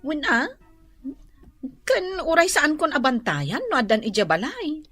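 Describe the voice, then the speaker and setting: A nagging Ilocano wife in a radio drama, her voice high and bright. She swings widely in pitch as she prods and questions with open suspicion. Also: wildly swooping pitch, a little slow, no echo, soft background music, full character voice. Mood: grudging.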